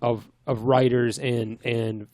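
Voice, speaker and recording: A man's voice: medium pitch, flat delivery, slow, a little mellow, dry recording, studio quality. A man co-hosting a casual podcast chat, joking around and rambling through his stories. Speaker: podcast co-host. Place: small home studio room.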